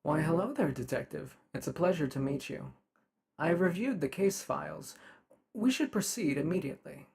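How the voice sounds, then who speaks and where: A man voicing a polite, methodical robot investigator in an ASMR roleplay, speaking in a formal, measured, emotionally restrained way. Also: a little high, expressive, gravelly, darkish tone. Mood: formal, courteous. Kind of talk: ASMR roleplay character acting.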